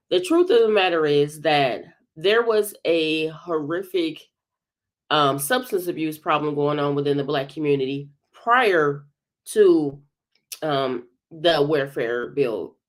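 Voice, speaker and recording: A woman hosting a livestream commentary, congested from a bad head cold, talking hesitantly with many filled pauses. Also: low-pitched, lively intonation. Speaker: commentary channel host.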